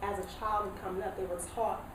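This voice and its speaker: A woman from Washington DC speaking in a group discussion, lively and acting out what others say to her. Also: medium pitch, fast talker. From Washington DC, USA. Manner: animated, acts out quoted voices. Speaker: panelist. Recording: echoey room, far mic, noisy.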